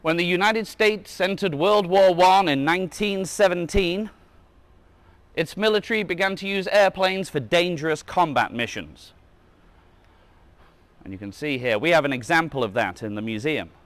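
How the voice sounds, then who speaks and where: A man's voice: fairly high pitch, moderate pace, fairly bright tone, no room sound. A man reading a children's book aloud as a museum guide, adding casual asides and now and then correcting his slips. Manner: stumbles over words and corrects himself.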